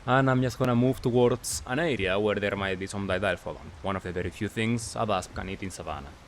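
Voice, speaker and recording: A man giving casual gameplay commentary as a recap, talking in short clipped phrases with pauses. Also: on the low side, slight background noise. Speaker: gaming video creator.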